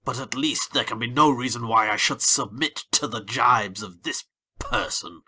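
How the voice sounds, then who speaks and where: A man's voice: medium pitch, moderate pace, bright, forceful tone, slightly rough. A man playing a fierce old colonel under arrest, haughty and indignant, in an audiobook reading. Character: fierce old male colonel, bitter prisoner.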